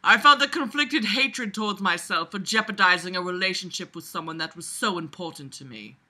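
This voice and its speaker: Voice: medium pitch, a little fast, slightly rough. A person reading aloud and breaking off for casual, sarcastic running commentary, blunt, sweary and emphatic. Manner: animated, emphatic, jumps from muttering to loud outbursts. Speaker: reader reviewing a book aloud.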